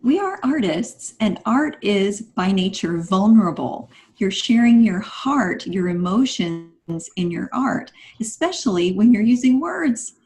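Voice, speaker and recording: A woman's voice: medium pitch, lively intonation, a little slow, few pauses, darkish tone. A woman speaking conversationally as a writer guest on a podcast, explaining things earnestly. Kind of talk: conversational discussion.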